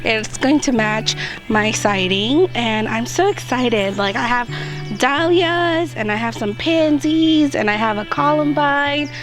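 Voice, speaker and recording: A woman with a slightly raised, hoarse voice talks a gardening vlog through step by step, upbeat and chatty. She is recorded outdoors, with clear music playing under her voice. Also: a little high, animated, measured pace. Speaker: gardening channel host. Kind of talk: casual how-to chat to camera. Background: background music.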